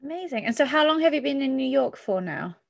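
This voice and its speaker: High-voiced upbeat woman hosting a podcast. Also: lively intonation, quick pace, creaky. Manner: upbeat, cheeky. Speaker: podcast host.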